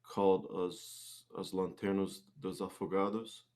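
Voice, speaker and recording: A man with a low-pitched voice chats casually as a guest in an interview. He speaks hesitantly, often doubling back to repeat or correct a phrase. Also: flat delivery, unhurried. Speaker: guest, singer-songwriter and musician.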